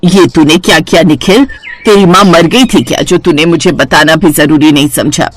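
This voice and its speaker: A woman's voice: low voice, normal speed, natural voice. A woman voicing a greedy, snobbish middle-aged mother-in-law in a cartoon story, with a scolding, exclaiming manner. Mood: angry scolding. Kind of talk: Hindi cartoon bedtime-story character acting.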